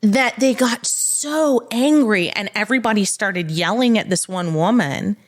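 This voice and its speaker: A woman's voice: mid-range voice, measured pace. A woman co-hosting a podcast, telling stories off the cuff in a dramatic, conversational way. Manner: animated, dramatic retelling with acted quotes. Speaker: podcast host. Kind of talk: unscripted conversational storytelling.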